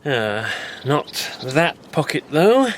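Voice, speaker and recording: A cheeky, eager young rogue. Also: male voice, a little high, big pitch swings, extremely slow, a little hiss or hum, full character voice. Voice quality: eager, chatty, laughing easily. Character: cheeky young Victorian man posing as a reporter.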